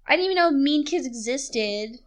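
A young American woman with a fairly high voice co-hosts an informal conversation. She talks casually, as one friend telling another little stories. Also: lively intonation, normal speed. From United States. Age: 20s. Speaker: podcast co-host. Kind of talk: casual chat between friends, anecdotes.

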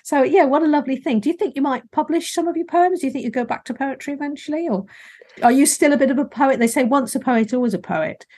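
A woman's voice: sits high, fast, darkish tone. A woman hosting a podcast, chatting casually and rambling a little, with frequent fillers. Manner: friendly, rambling, many fillers. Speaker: podcast host. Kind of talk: casual interview chat.

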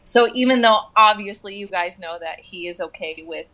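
A woman's voice: medium pitch, moderate pace, sharp tone. An American woman from the southwestern United States talking casually in conversation as a guest.

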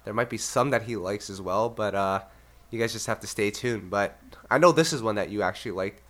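A man's voice: fairly low pitch, a little fast, fairly bright tone. A Canadian man hosting a podcast, congested and a bit nasal from illness, easygoing and laughing often. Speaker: podcast host. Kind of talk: casual chat.

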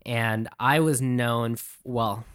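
A man who says he has a high-pitched voice, chatting casually and self-deprecatingly as a guest on a podcast about his band. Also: fairly even intonation, slow, a bit bright, smooth.

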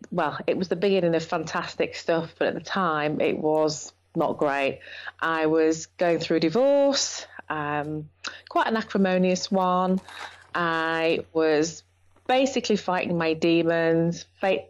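A woman in her fifties with a Yorkshire accent speaks as a podcast guest, talking fast and laughing easily. Her voice sits on the low side. She comes through a dull, lo-fi remote line. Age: 50s. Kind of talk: casual interview.

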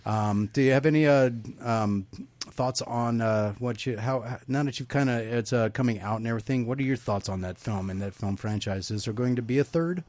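A man hosting a talk radio show, asking his guest questions in a casual, halting way with lots of fillers. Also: mid-range voice, darkish tone, dry recording.

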